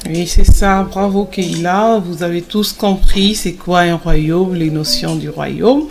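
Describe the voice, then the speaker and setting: A woman playing a kind mother who gives children a Bible lesson in an animated film, encouraging and explanatory, with some hesitations. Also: lowish voice, takes it slowly, gravelly.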